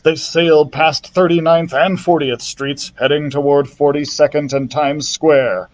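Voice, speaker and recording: A man's voice: fairly high pitch, a little slow, slight background noise. Man reading a storybook aloud. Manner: steady storytelling narration with small slips. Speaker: children's librarian. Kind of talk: reading a children's book aloud.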